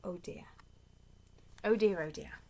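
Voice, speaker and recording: A young woman reading a children's picture book aloud in an expressive, deliberate storyteller's manner. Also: medium pitch. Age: young adult. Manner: animated, deliberate. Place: small bedroom, indoors.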